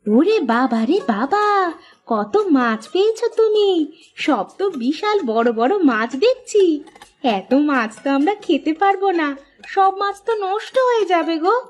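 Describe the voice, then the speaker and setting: Lady doing a super high voiced village housewife, cartoon style, caring but fussy and a little naggy. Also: big pitch swings, measured pace, heavily acted. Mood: impressed. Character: caring, practical village housewife, mildly nagging. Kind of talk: cartoon story dialogue.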